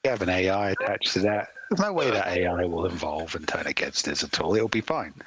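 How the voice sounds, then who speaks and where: A man's voice: deep voice, expressive, brisk, fairly bright tone, a little hiss or hum. A man co-hosting a podcast, riffing on absurd ideas in casual chat and laughing at his own jokes. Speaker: podcast co-host.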